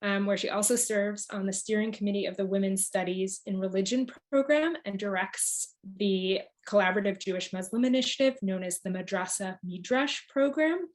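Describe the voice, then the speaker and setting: A woman's voice: medium pitch, moderate pace, hardly any pauses. A woman co-hosting a panel, giving formal introductions in the manner of an event host.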